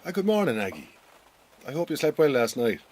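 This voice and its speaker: An actor playing an awkward, well-meaning Irish farm boss in a radio drama, with an Irish accent and a blunt but stumbling way of talking. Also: male voice, medium pitch, big pitch swings, brisk, darkish tone, a little hiss or hum, lightly acted. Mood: awkward, friendly.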